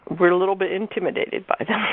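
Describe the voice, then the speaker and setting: A woman being interviewed as an author, talking casually and haltingly as she thinks aloud over a narrow, muffled call. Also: medium pitch, steady tone, a little hiss or hum. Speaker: author guest. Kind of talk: casual interview talk explaining fiction. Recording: muffled narrow phone-like line.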